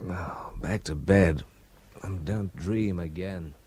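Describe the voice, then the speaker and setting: An actor playing a curious young man staying with relatives in a radio drama, speaking in a hushed, questioning way. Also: sits low, slow pace, breathy edge, exaggerated voice. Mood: uneasy. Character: young male visitor, curious and wary. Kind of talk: 1970s radio drama acting.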